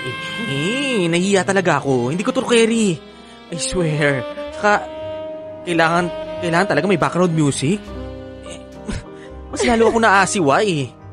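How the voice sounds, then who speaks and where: High-voiced, bashful effeminate Filipino man. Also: animated, moderate pace, pauses often. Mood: nervous reluctant. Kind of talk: Filipino radio drama acting. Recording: music playing under voice.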